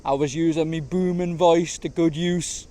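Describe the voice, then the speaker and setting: A man vlogging as he walks through gardens in the heat, his voice slightly hoarse, talking in a chatty, slangy, easygoing way. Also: fairly high pitch, steady tone, normal speed, dark tone. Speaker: travel vlogger. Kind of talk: casual walking vlog narration. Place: outdoors in large city gardens, walking in heat.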